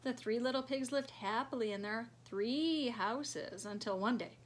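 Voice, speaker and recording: A middle-aged woman reading a children's storybook aloud like a preschool teacher, expressive and emphatic, recorded in a room at home. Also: on the high side, measured pace, a little hiss or hum. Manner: expressive, emphatic, simple phrasing for small children. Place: small living room, indoors.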